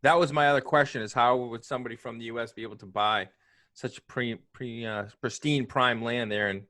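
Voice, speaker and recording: A man co-hosting a video call interview, asking relaxed, conversational questions. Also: medium pitch, a little fast. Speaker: co-host. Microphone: video call microphone.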